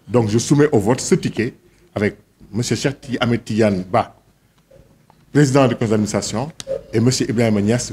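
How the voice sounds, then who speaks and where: A man's voice: medium pitch, slight background noise. A man chairing a board meeting, giving a formal address and calling votes. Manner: measured, then loud and directive when calling the vote. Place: meeting hall.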